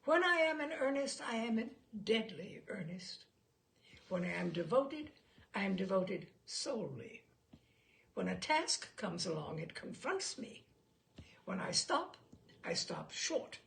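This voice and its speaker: A woman's voice: medium pitch, very animated, unhurried, gravelly, a bit bright, exaggerated voice. A woman voicing a pompous, fussy male expert witness in a humorous story, precise, proud and declamatory. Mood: boastful, emphatic. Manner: precise, self-satisfied, declamatory. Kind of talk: humorous short story read aloud.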